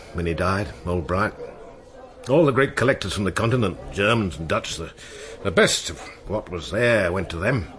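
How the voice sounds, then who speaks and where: A man's voice: on the low side, fairly bright tone. An actor playing a seasoned, wistful seaman in a radio drama, telling tales in a musing, colloquial way. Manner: musing, colloquial storytelling with seafaring turns of phrase. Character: seasoned old seaman, wistful, salty storyteller.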